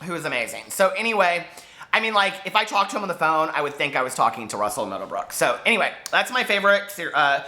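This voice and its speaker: A man chatting casually to camera as a book vlogger, gushing and animated, wandering off on tangents with lots of filler words. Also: on the high side, quick pace. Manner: gushing, digressive, peppered with fillers.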